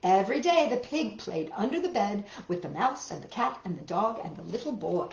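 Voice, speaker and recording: A woman's voice: medium pitch, big pitch swings, brisk, slight background noise. A woman hosting a children's story time, reading aloud in simple phrases with dramatic emphasis. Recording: roomy, dull lo-fi home recording.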